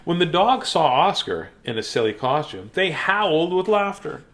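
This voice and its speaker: A man reading a children's bedtime story theatrically, with dramatic pauses and playful asides. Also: fairly high pitch, very animated, fairly bright tone.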